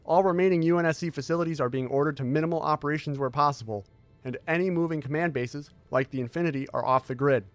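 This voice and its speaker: A man playing a tall, secretive military scientist who speaks in a formal, measured briefing manner in an audio drama. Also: fairly high pitch, soft background music, natural voice.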